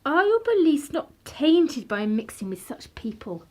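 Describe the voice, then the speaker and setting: A woman's voice: high-pitched, big pitch swings, a little slow. An actress playing a cool, sardonic, well-bred girl of eighteen in a radio play. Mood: reproachful. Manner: pointed, sardonic, formal. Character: cool, sceptical eighteen-year-old well-bred young lady.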